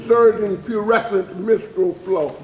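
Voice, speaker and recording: A man performing an anguished witness for enslaved captives, reciting dramatic verse in an intense, incantatory way with drawn-out emphatic words. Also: sits high, steady tone. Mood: anguished, grim. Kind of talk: dramatic spoken-word poetry recitation, readers theatre. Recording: echoey room on stage.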